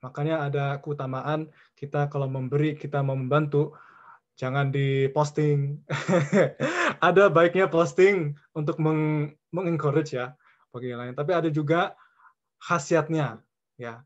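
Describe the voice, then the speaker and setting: A man from California giving an informal Islamic lecture as a guest speaker, mixing in English words and pausing often mid-sentence in short phrases. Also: steady tone. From California, United States. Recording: dull, muffled low-fidelity audio.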